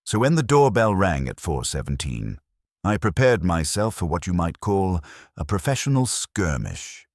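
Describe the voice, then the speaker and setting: A man's voice: low-pitched, big pitch swings, normal speed, darkish tone, dry recording, studio quality. A man reading first-person detective fiction as narrator, in a wry, wisecracking manner.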